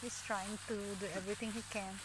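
A woman's voice: mid-range voice, little pitch movement, fairly quick. A middle-aged woman with a Filipino accent, chatting casually in a farm vlog, often laughing and filling gaps with "um", recorded on a phone held at arm's length outdoors. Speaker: farm vlogger. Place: outdoors on a rural farm path. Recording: outdoor phone audio with rural noise.

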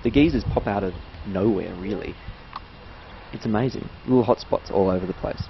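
A man's voice: lowish voice, brisk. An Australian man giving a casual, chatty, unscripted travel narration with bits of science explained informally. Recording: dull, lo-fi audio with loud background noise.

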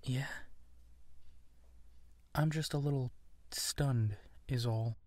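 A young man performing a romantic ASMR roleplay, soft-spoken and often whispering, hesitant and tender. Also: mid-range voice, fairly even intonation, very slow, no room sound.

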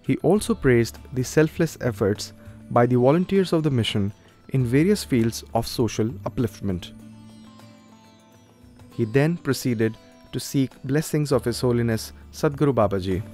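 A man's voice: mid-range voice, lively intonation, normal speed, a little mellow, soft background music. A man working as a news reporter, presenting scripted reports and interview questions.

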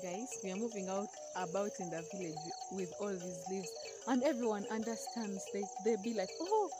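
A young woman walks through a rural field, chatting to her viewers as she explains plants. She talks into a phone camera, often held at arm's length. Music plays clearly behind her, with insects chirping. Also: mid-range voice, normal speed. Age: young adult. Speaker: vlogger. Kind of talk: casual vlog walkthrough.

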